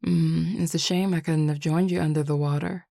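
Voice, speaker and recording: A woman's voice: low voice, steady tone, moderate pace, gravelly, warm tone, no echo, clean studio sound. A woman acting a flirtatious, teasing Southern werewolf in an audio roleplay, with a Southern US accent and a colloquial drawl. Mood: playful, flirty.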